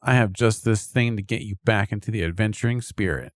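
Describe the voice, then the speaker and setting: Male host for scripted sponsor skit, lowish upbeat sales delivery, very dry sound. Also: a little fast, no echo. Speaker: podcast host reading an ad.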